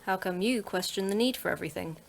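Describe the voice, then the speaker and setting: A woman's voice: mid-range voice, fast talker, vocal fry, natural voice. A woman playing the terse, dry-witted manager of a secret facility in a sci-fi horror audio drama.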